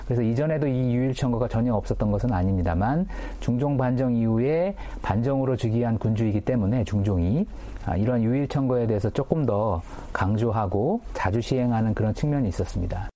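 A man's voice: medium pitch, brisk, mellow. A middle-aged man explaining history as a researcher, thoughtful with fillers. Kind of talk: interview explanation.

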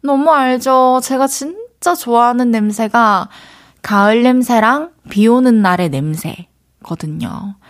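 A young woman DJ with a light Daegu lilt chats her way through listener letters on the radio. She is playful, thinks out loud, and now and then changes her mind mid-thought. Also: fairly high pitch, slow. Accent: Daegu Gyeongsang Korean. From Daegu, South Korea. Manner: chatty, playful, thinks aloud. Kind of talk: casual radio chat reading listener letters.

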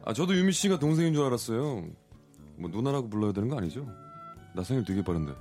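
A man's voice: medium pitch, sing-song, quick pace, darkish tone, faint music behind, close to an everyday voice. A man playing an earnest, forward suitor of thirty-one in a radio story reenactment. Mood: breezy. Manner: casual, pushy, earnest. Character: forward, earnest 31-year-old man courting a woman.

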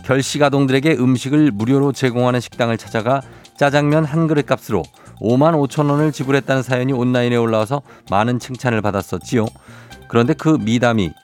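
Measured male radio host, soft music behind. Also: mid-range voice. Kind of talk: radio news chat.

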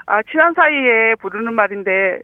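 A woman's voice: a little high, lively intonation, moderate pace, no echo. Woman on a dull, dry phone line. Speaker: phone-in caller teaching dialect. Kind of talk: friendly demonstration in casual phone chat. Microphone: phone call. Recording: dull, narrow telephone line.

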